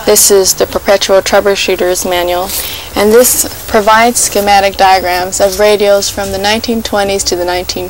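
A woman in her thirties explaining as a librarian, recorded in a library for 1980s television. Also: mid-range voice. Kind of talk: informational explanation. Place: busy library room. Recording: slightly noisy 1980s TV location sound.

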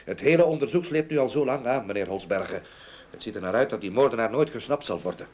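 An actor playing a grieving, haunted older gentleman in a Dutch radio play, speaking in a halting, brooding way. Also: mid-range voice, lively intonation, natural voice. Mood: bitter. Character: grieving, haunted older gentleman seeking revenge for murdered niece. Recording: old radio play recording, background noise.

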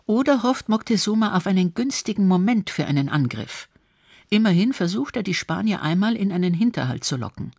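A woman's voice: mid-range voice, very animated, fairly quick, vocal fry, a little mellow, husky, no room sound, clean studio sound. A woman reading scripted documentary narration.

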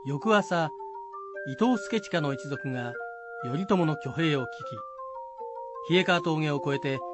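A man's voice: fairly high pitch, animated, takes it slowly, over music. A man giving scripted storytelling narration of a historical tale.

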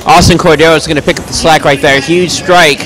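A man giving live play-by-play commentary on a bowling match in a bowling alley. He has a loud, projecting voice and makes chatty, joking asides between calls. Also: fairly high pitch, steady tone, quick pace, crowd noise, low-quality recording.

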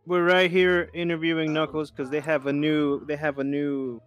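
A man's voice: on the high side, flat delivery, moderate pace, heavy background noise. A man doing a casual comedic interview as the interviewer, speaking informally. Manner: informal, often breaking into laughter. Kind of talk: casual comedic street-style interview in a virtual-reality game. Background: background music.

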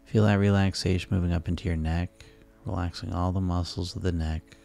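Male hypnotist, very low and mellow, soothing sleep-session narration with soft music underneath. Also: flat delivery, gravelly. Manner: soothing, unhurried, repetitive. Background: relaxing background music.

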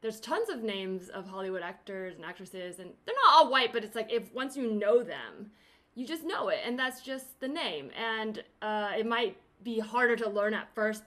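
A woman hosting a casual interview podcast, chatty and quick to laugh, talking over a video call. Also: medium pitch, fairly bright tone. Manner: chatty, laughs often, many fillers and restarts. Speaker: podcast host. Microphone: remote video call.

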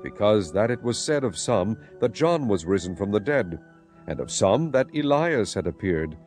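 A man with a low voice narrates scripture in a dramatized style. His intonation is varied and expressive, and quiet music runs beneath the reading.

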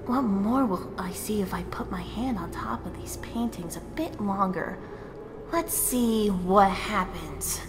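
A woman's voice: medium pitch, animated, a little slow, long reverb tail, very noisy, natural voice. A woman voicing a stern, curious and bossy fox researcher in a scripted comic dub. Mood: startled curiosity. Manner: firm, bossy.

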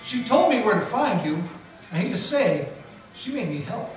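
A man narrating and playing a wisecracking hardboiled detective in a live 1940s radio play, deadpan. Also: high-pitched, normal speed. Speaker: narrator and lead actor. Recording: echoey hall from far off with music.

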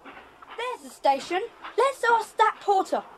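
A cheerful young boy adventurer in a children's audio play. The voice is very high, chirpy and matter-of-fact. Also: big pitch swings, slow, a little hiss or hum, exaggerated voice. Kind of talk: children's audio drama acting.

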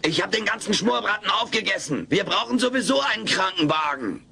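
A man playing a cheeky, melodramatic furry alien with a raspy, gravelly voice in a comedy audio drama. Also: high voice, lively intonation, a little fast, noisy background. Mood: urgent.

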